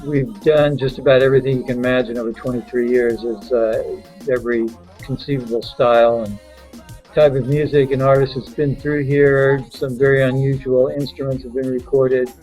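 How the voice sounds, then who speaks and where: Measured man describing his studio. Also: mid-range voice, flat delivery, a little mellow, faint music behind. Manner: measured, listing details with fillers. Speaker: recording studio owner. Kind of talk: casual interview answers.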